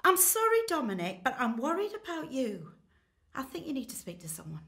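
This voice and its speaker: A woman's voice: high-pitched, huge pitch swings, brisk, fairly bright tone, full character voice. A woman playing an exasperated, worried wife, reproachful and insistent, in a radio comedy. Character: exasperated, worried wife delivering firm ultimatums.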